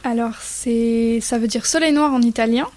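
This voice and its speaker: A woman from Spain, a guest artist, answering radio interview questions thoughtfully, pausing with fillers. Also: a little high, darkish tone.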